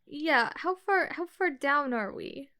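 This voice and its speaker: A woman with a slightly high voice plays a tabletop game. Her talk is casual and informal. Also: animated, takes it slowly, bright, forceful tone.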